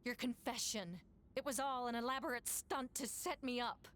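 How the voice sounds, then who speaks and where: An actress playing an indignant, accusatory woman knight captain in a game, forceful and dramatic. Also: fairly high pitch, normal speed, a little hiss or hum, natural voice. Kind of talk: English game voice acting.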